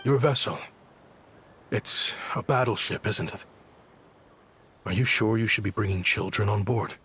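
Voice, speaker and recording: A man playing a polite, protective guardian in a video game, speaking in a measured, guarded way. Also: fairly low pitch, fairly bright tone, slight background noise. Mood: skeptical, cool. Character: polite, reserved, protective male guardian of children. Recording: music behind the voice.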